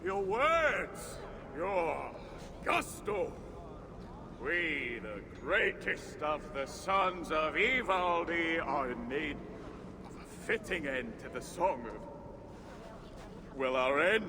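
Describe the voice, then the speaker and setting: An actor playing a grandiose, drunken dwarf poet in a video game, declaiming with theatrical excitement. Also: male voice, fairly high pitch, extremely slow, exaggerated voice. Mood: exuberant. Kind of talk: cinematic video game acting. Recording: long hall reverb, clear background noise.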